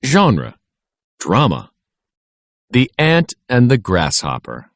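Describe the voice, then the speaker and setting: A man's voice: mid-range voice, wildly swooping pitch, slow, dry recording, studio quality. A man giving scripted educational narration, reading out instructions and headings for a lesson.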